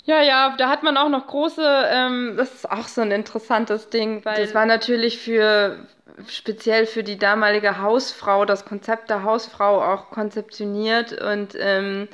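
A woman's voice: medium pitch, steady tone, measured pace, a bit bright, clear, resonant tone. A woman speaking as a guest expert in a casual podcast chat, explaining animatedly and often restarting her thoughts.

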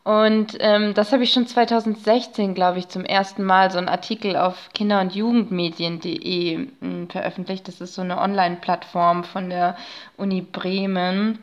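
A woman speaking as an academic guest in a conversational interview, explaining her research in a thoughtful, halting way with scholarly vocabulary. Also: mid-range voice, fairly even intonation, highs cut off.

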